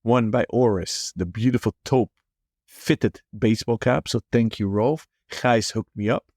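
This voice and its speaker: A man's voice: on the low side, unhurried, darkish tone, no echo, clean studio sound. A man co-hosting a watch podcast, asking long winding questions in a friendly, teasing way. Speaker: podcast co-host. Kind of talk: casual interview hosting.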